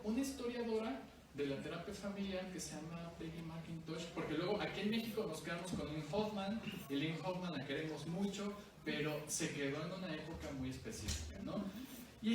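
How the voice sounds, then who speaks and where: Man, discursive academic presenter, distant noisy recording. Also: on the high side. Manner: discursive, with frequent tag questions. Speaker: presenter at a book launch. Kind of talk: academic talk. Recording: echoey distant room recording with noise.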